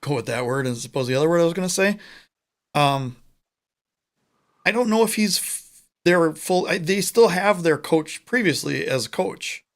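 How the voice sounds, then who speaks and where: A man from the United States co-hosting a casual sports podcast over a remote call, chatty and joking, with quick asides. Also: fairly high pitch, lively intonation. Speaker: podcast co-host.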